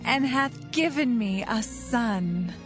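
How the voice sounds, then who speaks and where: A woman playing a yearning, determined biblical wife in a dramatised audio Bible, slow and fervent in delivery. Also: a little high, animated, music in the background. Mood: fervent, triumphant.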